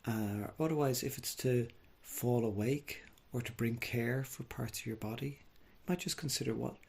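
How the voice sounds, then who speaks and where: Gravelly, husky man guiding meditation. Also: medium pitch. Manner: gentle, unhurried, with long pauses. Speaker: mindfulness meditation teacher. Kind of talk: guided body scan meditation.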